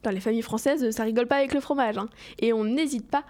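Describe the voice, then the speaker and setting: A young woman in her twenties or so with a slightly high voice reads her column in a chatty, bubbly way. She laughs easily and drops English words into her talk. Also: quick pace, darkish tone, a little hiss or hum. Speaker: radio show columnist. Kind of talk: lively studio chat.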